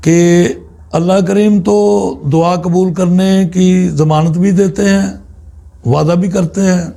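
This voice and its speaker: A man giving an informal, unscripted religious talk in a halting, deliberate way, often pausing mid-sentence. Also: high voice, gravelly, warm tone. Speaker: Islamic spiritual speaker.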